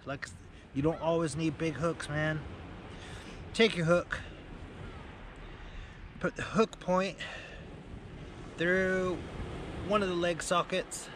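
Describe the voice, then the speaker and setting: A man's voice: a little high, steady tone, slow pace, long pauses. A man in his forties chatting casually to camera as an angling vlogger, with a laid-back manner. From United Kingdom. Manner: laid-back, often tags remarks with "man". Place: outdoors on a beach at night, in a small tent. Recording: noisy outdoor camera audio.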